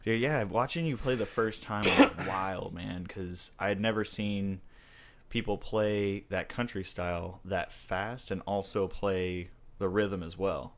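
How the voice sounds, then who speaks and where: A man's voice: low voice, measured pace, a little hiss or hum. A man hosting a casual interview, chatty and enthusiastic, tossing in slang like "dude" and "man". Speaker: interview host and musician.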